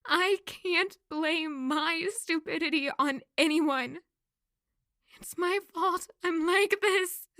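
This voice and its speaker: High-voiced, wistful young runaway woman. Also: slow pace, pushed and bright, no echo, clean studio sound, exaggerated voice. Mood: distraught. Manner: dramatic, anguished self-questioning. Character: self-blaming young runaway woman, wistful and highly emotional. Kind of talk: audio drama acting with reflective narration.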